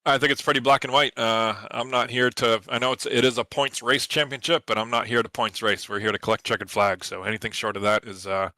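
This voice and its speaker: A man's voice: medium pitch, fairly even intonation, a little fast, hardly any pauses, pushed and bright, dry recording. A man from New England, the race winner, talking confidently in a post-race interview with plenty of fillers. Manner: confident, competitive, lots of fillers.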